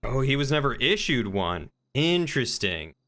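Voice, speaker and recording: A man's voice: medium pitch, sing-song, normal speed, bright, forceful tone. A man streaming a video game, chatting casually and musing aloud to himself as he plays. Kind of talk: casual livestream chat while gaming.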